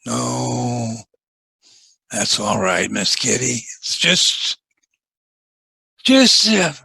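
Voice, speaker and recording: A folksy, bashful deputy in a western radio play. The voice is gravelly and slow, with a lot of lift and fall. He is courteous and hesitant. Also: medium pitch, big pitch swings, sharp tone, dry recording, full character voice. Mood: wistful. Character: bashful, good-hearted, folksy frontier deputy. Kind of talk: old-time radio western acting.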